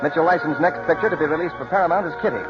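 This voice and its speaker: A man's voice: on the high side, racing. A man with an American accent, reading scripted announcements in 1940s radio style. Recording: dull old radio broadcast with music.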